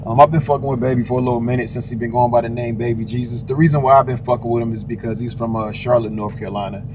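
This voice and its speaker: A man hosting a casual music review channel, talking in a relaxed, slangy way with filler words. His delivery stays level and flat in pitch. A steady noisy ambience sits behind his voice. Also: rapid-fire. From Washington State, US. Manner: laid-back, slangy, with fillers. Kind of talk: casual album reaction chat. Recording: noisy background.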